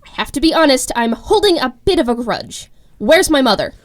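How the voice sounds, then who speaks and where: An actress playing a defiant, vengeful young vigilante heroine in a radio drama. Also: female voice, sits high, very animated, brisk. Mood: threatening.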